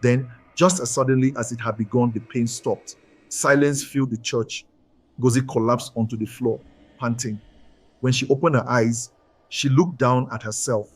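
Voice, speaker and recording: A man narrating a scripted folktale as a storyteller, in a solemn, deliberate and dramatic way. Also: mid-range voice, fairly even intonation, husky, dry recording.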